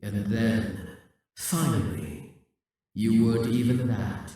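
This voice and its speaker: Man as a slow, echoing, imperious demon king. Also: on the low side, steady tone, mellow. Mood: taunting. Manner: slow, deliberate, condescending, grandiose. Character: possessive, brooding demon king risen from death, seductive and imperious. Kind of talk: scripted audio roleplay monologue. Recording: echoing, reverberant, distant from the mic.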